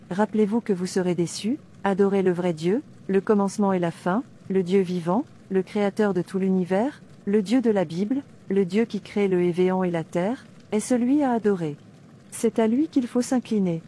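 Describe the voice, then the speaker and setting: Woman as a devout princess, mellow voice. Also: mid-range voice, slight background noise, close to an everyday voice. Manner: declamatory, earnest, citing scripture. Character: devout, defiant princess preaching her faith. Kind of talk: sermon-like dubbed cartoon dialogue.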